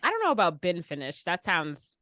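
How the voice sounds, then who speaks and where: A woman's voice: on the low side, wildly swooping pitch, brisk, sharp tone, no echo, clean studio sound. A woman chatting casually as a podcast co-host, with an informal, giggly manner. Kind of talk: casual chat.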